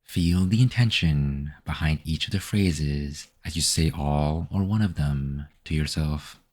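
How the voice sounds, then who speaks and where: Male meditation guide, very deep pitch, unhurried delivery, measured instructional narration. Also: darkish tone.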